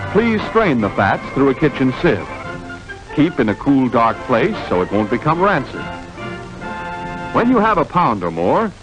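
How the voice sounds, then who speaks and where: Animated 1940s propaganda narrator. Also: male voice, mid-range voice, rough recording. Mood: instructive. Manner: emphatic, declamatory. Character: earnest, urgent male wartime propaganda narrator. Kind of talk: 1940s newsreel-style scripted narration. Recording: old 1940s film soundtrack.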